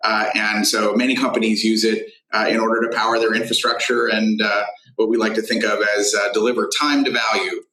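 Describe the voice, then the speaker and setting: Male tech founder as interview guest, lowish voice, halting delivery, roomy video-call sound. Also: level tone, pushed and bright. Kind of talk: interview explaining his business.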